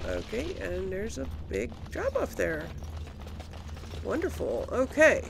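A woman's voice: a little high, big pitch swings, takes it slowly, gravelly, background noise. A woman doing casual let's play commentary as a gaming host, musing and thinking aloud.

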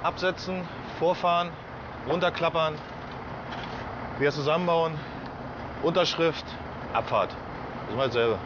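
A middle-aged man, a truck driver, talking in a brash, joking, hurried way while working outdoors at a port cargo yard. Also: fairly high pitch, muffled recording, background noise. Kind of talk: casual talk at work.

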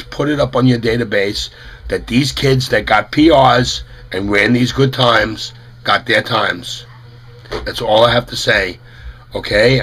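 Middle-aged father with a head cold, low hoarse voice, halting earnest to-camera plea at a webcam. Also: fairly bright tone. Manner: earnest, halting, with many fillers. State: head cold, losing his voice. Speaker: parent of a runner. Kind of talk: casual to-camera statement. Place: small room indoors.

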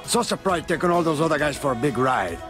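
Man playing a brash, high-pitched Cuban gangster with music behind. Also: lively intonation, fast talker, natural voice. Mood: defiant, angry. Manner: cocky, profane, domineering. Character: brash, hot-tempered Cuban gangster kingpin, adult man. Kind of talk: video game cutscene acting.

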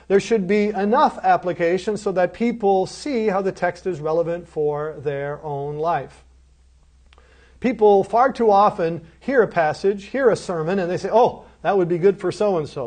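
High-voiced man lecturing on preaching. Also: darkish tone. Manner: earnest, teacherly, asks rhetorical questions. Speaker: seminary lecturer.

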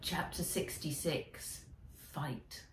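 A middle-aged woman reading an adventure story for children aloud, with expressive, dramatic, emphatic delivery. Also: sits low, very slow, far from the mic. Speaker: author reading her own book aloud. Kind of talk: dramatic audiobook-style narration for children. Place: indoors, bright room with armchair. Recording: roomy, echoey, away from mic.

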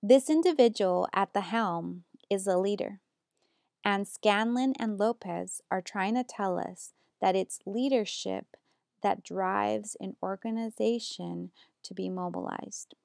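A young woman from the United States, a graduate student reading a prepared slideshow presentation in a steady, earnest way. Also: medium pitch, measured pace, vocal fry, no room sound, clean studio sound.

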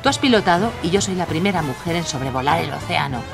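Aviadora decidida, con música detrás. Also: female voice, on the low side, expressive, quick pace, vocal fry, music in the background. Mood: elated, proud. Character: determined young woman aviator, spirited and resilient. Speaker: lead actress and in-character narrator. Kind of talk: audio drama acting.

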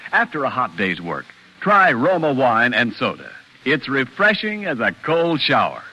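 Old time radio ad guy, emphatic and lively, bit husky. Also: mid-range voice, low-quality recording. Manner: polished salesman's emphatic delivery. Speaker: commercial announcer. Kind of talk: 1940s radio ad read. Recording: vintage 1940s radio recording.

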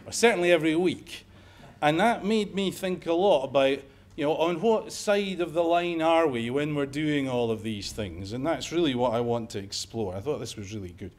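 A middle-aged man from Scotland with a Scottish accent, giving a conference talk in a lecture room with wry, joking asides. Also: on the high side. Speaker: emergency medicine professor giving a lecture.

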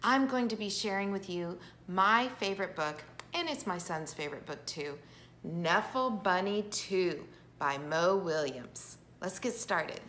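A middle-aged woman reading a picture book aloud to children with expressive, dramatic emphasis. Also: fairly low pitch, a little slow, a little hiss or hum. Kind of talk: animated children's storybook read-aloud. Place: indoor living room with piano.

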